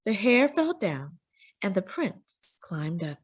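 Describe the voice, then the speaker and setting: A woman's voice: mid-range voice, sing-song, takes it slowly, breathy edge. A woman reading a children's storybook aloud as narrator, phrasing for young listeners with dramatic emphasis.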